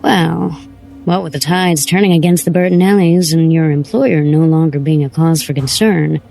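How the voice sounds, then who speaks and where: A scheming female crime boss in an audio drama, acted by a woman, speaks in a low voice with plenty of fry. She is coy, smug and measured, with music playing underneath. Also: dark tone, rough recording. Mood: smug, enticing.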